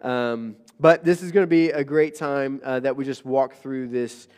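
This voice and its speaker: A man's voice: medium pitch, steady tone, unhurried, a little mellow. A male pastor giving a conversational sermon, nasal from a recent illness, with frequent fillers and restarts.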